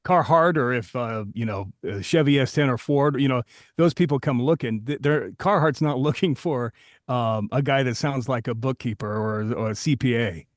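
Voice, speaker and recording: A man's voice: medium pitch, moderate pace, no echo. Man, podcast co-host, voiceover coach, casual, joking, swears a little, dry sound.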